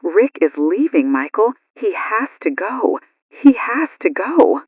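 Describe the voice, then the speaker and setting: A woman's voice: medium pitch, big pitch swings, takes it slowly, breathy edge, dry recording, dull audio, full character voice. A woman playing an anxious radio news co-host during a blackout, in an audio drama. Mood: distressed.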